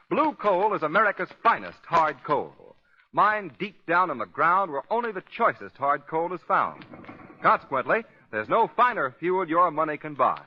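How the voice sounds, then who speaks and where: A man delivers a vintage radio advertisement with emphatic polish. His voice sits fairly high and moves up and down with great animation, heard through a dull 1940s broadcast recording. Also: slightly rough. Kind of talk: 1940s radio sponsor announcing.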